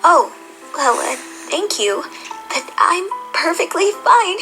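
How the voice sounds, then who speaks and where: Woman playing a high, brittle, anguished pony princess. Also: sing-song, takes it slowly, full character voice, poor audio. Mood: evasive, weary. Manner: brittle, defensive. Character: weary, anguished immortal pony princess hiding her grief. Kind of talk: fan audio drama acting. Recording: music behind the voice.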